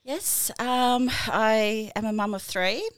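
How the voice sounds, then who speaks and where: A woman's voice: on the high side, slow pace, clean tone, dry recording. A woman in her forties with an Australian accent, a podcast guest talking about her volunteer work, with many fillers and restarts. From New Zealand. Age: 40s. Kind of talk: casual interview answers.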